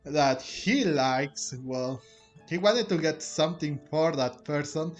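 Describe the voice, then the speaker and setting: A man doing casual, rambling gameplay commentary as host, with halting delivery. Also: on the high side. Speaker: host of a game playthrough channel. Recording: game music under the voice. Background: game music.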